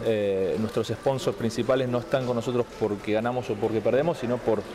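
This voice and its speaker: A man's voice: medium pitch, fairly even intonation, brisk, noisy background. A man in his thirties with an Argentine accent, answering interview questions thoughtfully, with many restarts. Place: indoor room, seated on a couch. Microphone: handheld mic held out by interviewer.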